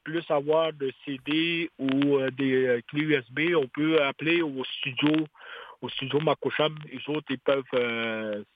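Halting man on a tinny phone line. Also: mid-range voice, fairly even intonation, a little hiss or hum. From Quebec. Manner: hesitant, many restarts and fillers. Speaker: singer-songwriter guest. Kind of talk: radio interview.

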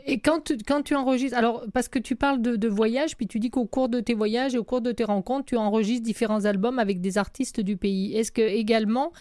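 A woman's voice: on the high side, steady tone, fairly quick, few pauses, a little mellow, dry recording. Enthusiastic woman interviewer, dry sound. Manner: enthusiastic, hesitant, with many fillers and restarts. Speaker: interviewer, co-host. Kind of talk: casual interview conversation.

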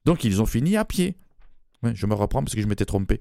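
A man's voice: on the low side, big pitch swings, quick pace, vocal fry, mellow, no echo, clean studio sound. A man voicing a brash, mannish woman from Toulouse, a former rugby player, with a southern French accent, bawdy and punning, chuckling at his own jokes in a radio comedy sketch. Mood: cheeky.

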